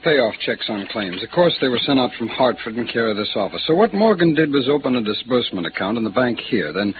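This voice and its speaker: Noisy, dull vintage radio sound carries a male actor as a fussy accountant. His mid-pitched voice rises and falls freely as he rambles through folksy explanations. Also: sing-song, fast talker, close to an everyday voice. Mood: folksy, explaining. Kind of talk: 1950s radio drama acting.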